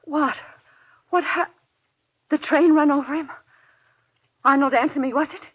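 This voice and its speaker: Scared wife, extremely high pitch, breathless pleading, old radio thriller, muffled recording. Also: female voice, unhurried. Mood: frightened, pleading. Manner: pleading, tremulous, breathless questioning. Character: frightened, clinging wife trapped in dark tunnels. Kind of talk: 1940s radio horror acting.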